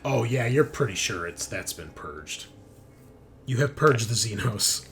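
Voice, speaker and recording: A man running a tabletop roleplaying game, improvising scene descriptions in a conversational, halting way. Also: mid-range voice, lively intonation, a bit bright. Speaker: game master. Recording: clear background noise.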